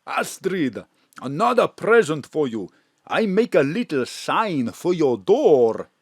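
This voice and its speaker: An actor playing a cheerful, flirtatious foreign neighbour who mixes up English words. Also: male voice, fairly high pitch, takes it slowly, breathy edge, no room sound, clean studio sound, heavily acted. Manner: warm, flirtatious, lively. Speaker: Sakis, café owner friend. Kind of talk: live audio drama acting. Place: home studio.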